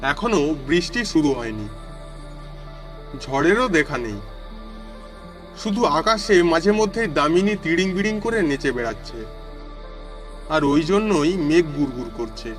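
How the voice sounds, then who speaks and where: A man's voice: a little high, animated, unhurried, pauses often, music in the background. A man voicing a village traveller who narrates his suspenseful walk home as a dramatic first-person audio story. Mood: measured. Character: male villager walking home through a storm, narrating.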